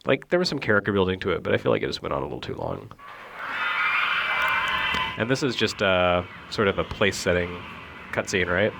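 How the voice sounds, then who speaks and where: A man's voice: low-pitched, expressive, a little fast, vocal fry, pauses often, heavy background noise. A man working as a video game critic, giving casual, opinionated commentary in a bantering, conversational way. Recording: game music playing behind the voice. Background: video game music.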